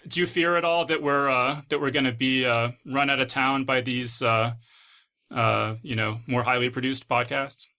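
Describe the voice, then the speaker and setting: A man hosting a casual podcast interview, asking his questions in a hesitant, halting way. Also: mid-range voice, fairly even intonation, bright, forceful tone. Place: closet at home.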